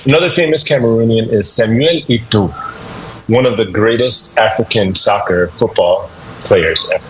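A man leads a radio language lesson in a slightly low voice, upbeat and patient as he explains phrases and asks listeners to repeat them. A faint background noise sits under the recording. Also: moderate pace, rough recording. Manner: upbeat, instructive, drills listeners with call-and-response. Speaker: language teacher segment host.

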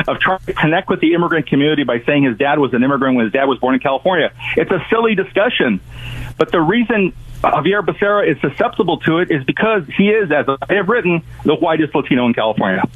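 A man's voice: a little high, breathy edge, no echo. A man commenting on politics in a wry, opinionated way over the phone. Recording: tinny phone line.

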